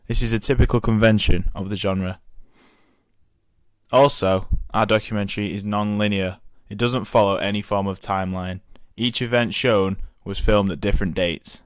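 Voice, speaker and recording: A young man reads out his documentary evaluation in a fairly low voice. The sound is dry and close, with no room around it. He keeps a steady reading pace and corrects small slips as he goes. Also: smooth, no room sound. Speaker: media student.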